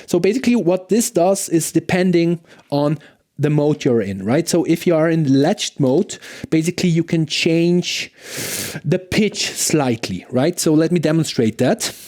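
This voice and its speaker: A man's voice: on the high side, lively intonation, measured pace, darkish tone. A man giving a casual, conversational product review as a gear demonstrator, enthusiastic and often checking in with the listener.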